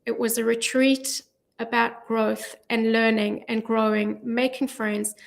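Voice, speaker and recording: A middle-aged woman speaking as a guest in a radio studio interview, earnest and measured, with frequent ums, on a studio microphone. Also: on the high side, little pitch movement, creaky. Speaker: guest, charity volunteer. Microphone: studio mic with headphones.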